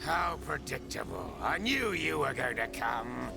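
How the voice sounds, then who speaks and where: Gravelly, gloating sadistic villain. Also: male voice, medium pitch, very animated, a little slow, full character voice. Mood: gloating, taunting. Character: gloating sadistic male villain, mocking and bloodthirsty. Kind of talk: theatrical video game villain acting. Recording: music behind the voice.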